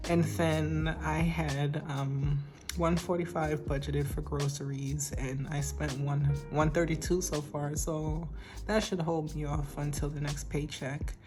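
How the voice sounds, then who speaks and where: A woman with a low, shaky voice that is not strong, talking slowly in a flat, even tone. She chats casually about her money, pausing with hesitant ums. Music plays clearly behind her. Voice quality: weak, shaky voice. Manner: slow and soft-spoken, with hesitant ums and light laughs. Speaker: budgeting vlogger. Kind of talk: casual chat.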